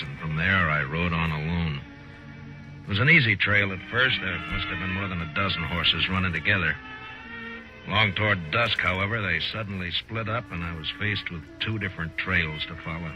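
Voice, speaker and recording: An actor playing a gruff, unflappable frontier marshal in an old-time radio western, speaking tersely and with dry authority. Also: male voice, low voice, lo-fi sound, lightly acted. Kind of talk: old-time radio western acting and first-person narration.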